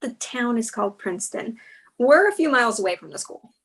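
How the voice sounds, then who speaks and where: Girl reading as a frank wry young girlfriend, fairly high voice, everyday delivery. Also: big pitch swings, fairly quick, fairly bright tone, slightly rough, exaggerated voice. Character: candid, exasperated young girlfriend. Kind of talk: table read of a comedy pilot script.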